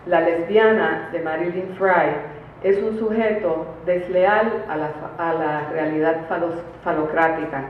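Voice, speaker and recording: Echoey, distant woman reading a lecture. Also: fairly low pitch. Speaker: academic conference speaker. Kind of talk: formal academic lecture read from a prepared paper. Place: conference room, recorded from a distance. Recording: distant, very echoey room, phone-like, faint noise.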